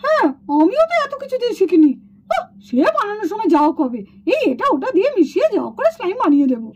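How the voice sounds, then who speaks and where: Fast, squeaky, fretful schoolchild doll. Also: female voice, very animated, darkish tone. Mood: unsure. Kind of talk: children's puppet cartoon dialogue. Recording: dull lo-fi sound.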